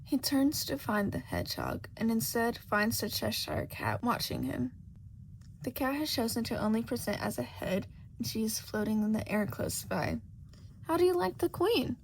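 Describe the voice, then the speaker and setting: A woman's voice: fairly high pitch, sing-song, normal speed, vocal fry, low-quality recording. A woman reading a scripted story aloud as an amateur audiobook narrator. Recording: faint background noise.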